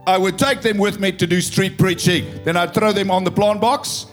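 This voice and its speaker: A man's voice: high voice, fairly even intonation, moderate pace, soft background music. A South African man in his fifties, a pastor preaching an emphatic, story-driven sermon with a tired voice. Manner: emphatic, storytelling, swelling to loud proclamation. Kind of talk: impassioned sermon mixing English and Afrikaans. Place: church.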